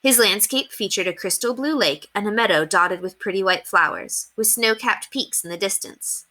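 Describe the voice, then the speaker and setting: A woman reading a children's novel aloud as an expressive storyteller. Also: mid-range voice, normal speed. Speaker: read-aloud storyteller at an elementary school.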